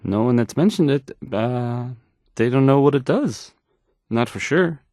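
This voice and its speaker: A man's voice: mid-range voice, lively intonation, mellow, no room sound, clean studio sound, lightly acted. An actor playing an awkward, insecure male radio DJ in a video game, stammering and hesitating through his news links. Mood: anxious, unsure. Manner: halting, stammering, hesitant, awkward, self-doubting. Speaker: radio DJ character.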